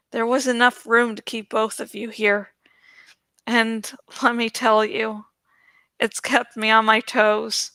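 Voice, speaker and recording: A woman voicing a brisk, kindly, scolding village healer in a storybook reading, with a firm, motherly manner. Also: fairly high pitch, sharp tone, smooth, no room sound, heavily acted.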